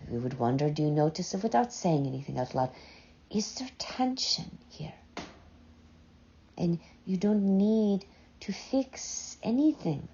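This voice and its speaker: A woman leading a guided meditation in a soft, breathy voice, gently and unhurriedly, with long pauses between short phrases. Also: fairly low pitch, expressive, slight background noise. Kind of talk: slow, soothing guided meditation. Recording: dull, muffled lo-fi sound.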